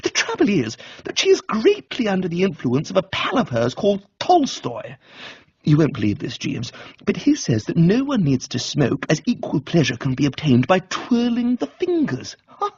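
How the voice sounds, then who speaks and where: Dim, amiable upper-class English chap male reader performing: animated, flustered, raised husky voice, comic radio storytelling. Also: high-pitched, moderate pace, dry recording, highs cut off, voice pushed up, exaggerated voice. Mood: agitated. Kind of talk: dramatised comic audiobook reading for radio.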